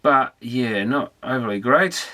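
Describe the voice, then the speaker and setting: A man's voice: mid-range voice, expressive, a little slow, sharp tone. A man thinking aloud in a casual, unscripted workbench commentary, muttering tentatively as he works. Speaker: amateur electronics repairer presenting. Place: repair workbench indoors.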